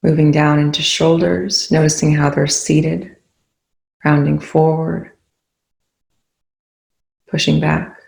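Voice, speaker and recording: Gravelly, unhurried meditation guide in echoey room. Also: medium pitch, little pitch movement, darkish tone. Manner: soothing, unhurried with long pauses.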